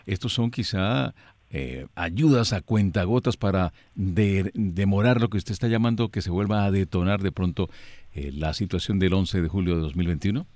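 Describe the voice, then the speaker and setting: A man's voice: low voice, moderate pace, darkish tone, no echo. A man as a news anchor, putting long formal questions to a guest.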